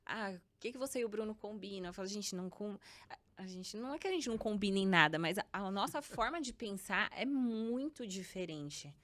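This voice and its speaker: A Brazilian woman in her mid-thirties tells stories in an easy, chatty way, slipping into quick acted-out exchanges. Her voice sits at a middle pitch, relaxed and conversational. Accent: Brazilian Portuguese. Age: 30s. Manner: lively storytelling, acts out dialogue, frequent laughter. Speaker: guest, company co-founder. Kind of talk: casual podcast chat.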